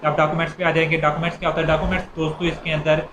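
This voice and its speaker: Pakistani male lecturer, slightly high voice, halting explanatory delivery, recorded in an echoey room. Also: little pitch movement. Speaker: law lecturer. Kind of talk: explanatory lecture, informal and bilingual. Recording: echoey room, clear background noise.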